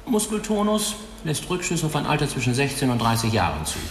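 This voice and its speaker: A man's voice: medium pitch, big pitch swings, fairly quick. An actor playing a big old male pathologist who dictates an autopsy report in a dry, clinical manner, in a radio drama. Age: older. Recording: echoey tiled room, faint hiss.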